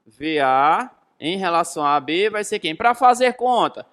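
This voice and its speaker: A man's voice: high voice, expressive, a little slow, fairly bright tone. A man in his thirties with a Brazilian accent teaching a lesson, emphatic and didactic, asking rhetorical questions and repeating key phrases. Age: 30s. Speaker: physics teacher.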